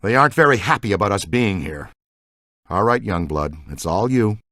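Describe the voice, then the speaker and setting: A seasoned video game ghost hunter, talking like a streetwise squad mate. The voice is forceful and bright, with big pitch swings and no room echo. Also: male voice, lively intonation, moderate pace, studio quality. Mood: coaching, wary. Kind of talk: video game character dialogue.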